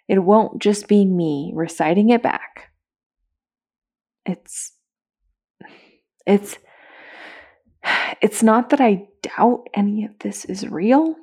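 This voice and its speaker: A woman's voice: medium pitch, darkish tone. An actress playing an anxious young woman in a found-footage audio drama, speaking hesitantly with sighs and whispers. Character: anxious, searching young woman confiding to a recorder.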